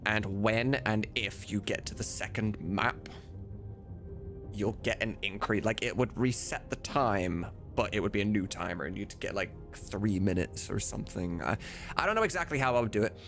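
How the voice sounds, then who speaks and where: A man's voice: lowish voice, moderate pace, a bit bright, soft background music. A man livestreaming as he works, chatting casually with viewers and muttering to himself. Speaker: livestreamer and game modder. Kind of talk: casual chat while working.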